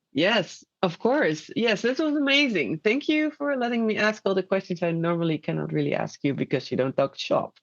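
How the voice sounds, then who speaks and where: A woman's voice: medium pitch, sing-song, moderate pace. Woman guest, laughs a lot, chatty, sound's kinda dull and lo-fi. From Netherlands. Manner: laughs easily, chatty, playful. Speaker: guest, former student. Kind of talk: casual conversation.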